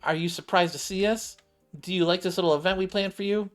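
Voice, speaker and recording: A man's voice: high voice, fairly even intonation, quick pace, pitched above the performer's own voice, natural voice. A man voicing a cheerful, playful young woman from a video game. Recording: music playing behind the voice.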